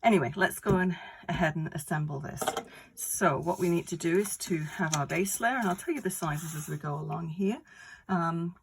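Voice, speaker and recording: Croaky, low-voiced woman teaching crafts. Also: a little hiss or hum, poor audio. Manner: chatty, rambling step-by-step explanations with many fillers. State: croaky from a cold. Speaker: card-making instructor. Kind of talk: casual crafting tutorial.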